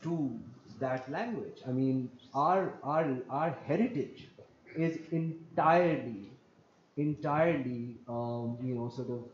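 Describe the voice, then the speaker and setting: A man from Pakistan, speaking on an online panel in a measured, lecturing way and repeating phrases for emphasis. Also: mid-range voice, slight background noise. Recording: muffled lo-fi audio.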